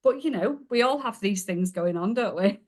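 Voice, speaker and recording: A woman's voice: mid-range voice, lively intonation, moderate pace. A woman in her forties with a Yorkshire accent, guesting on a podcast and telling her story in a chatty, self-deprecating way. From Yorkshire, England. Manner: warm, chatty, self-deprecating, laughs along. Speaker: podcast guest, business coach. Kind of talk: casual interview storytelling.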